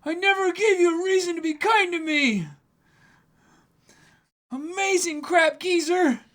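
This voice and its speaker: A man's voice: very high voice, measured pace, voice pushed up. A man voicing a brash, cocky, emotional young boy cook in a dramatic manga reading. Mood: cocky, bratty. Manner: shouty, bratty.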